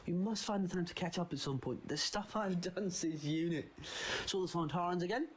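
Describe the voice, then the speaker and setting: A man playing a quirky, quick-witted time traveller in an audio drama, flippant yet assertive. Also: sits high, slight background noise, natural voice.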